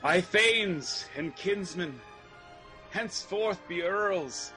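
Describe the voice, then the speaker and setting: Over loud music, a male actor plays a noble young king in a Shakespearean radio play. His voice is fairly high for a man. He speaks verse slowly, in an earnest, stately way. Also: low-quality recording. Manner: slow, stately verse delivery. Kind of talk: Shakespearean radio drama acting.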